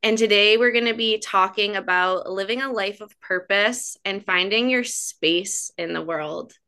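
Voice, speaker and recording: A woman hosting a wellness podcast, reading an upbeat scripted intro and guiding slow breathing. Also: mid-range voice, smooth. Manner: upbeat, slows to a calm guiding pace. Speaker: podcast host.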